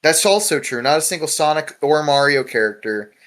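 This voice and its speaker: A man's voice: fairly high pitch, lively intonation, fairly quick, fairly bright tone. A man hosting a casual podcast chat, talking in a rambling, informal way with lots of filler words. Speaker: podcast host. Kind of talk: casual podcast discussion of a webcomic.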